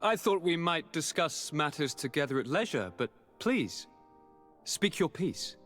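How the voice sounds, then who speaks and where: An actor playing an affable, courtly young aristocrat governor in a video game, with an airy, theatrical manner. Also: male voice, a little high, sing-song, unhurried, fairly bright tone, soft background music. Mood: polite, hesitant. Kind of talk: video game cutscene dialogue.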